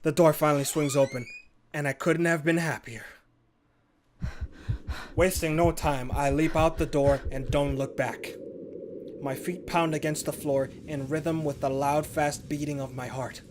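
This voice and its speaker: A man hosting a gaming video, reading a horror visual novel aloud in a theatrical, expressive way with casual commentary. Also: fairly high pitch, measured pace, slight background noise. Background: game music.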